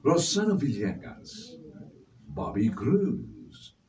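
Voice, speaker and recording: Guy narrator for radio drama, very slow and solemn, swooping intonation, bit far from mic. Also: mid-range voice, big pitch swings, gravelly, darkish tone. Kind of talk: scripted closing narration. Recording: distant mic with faint noise.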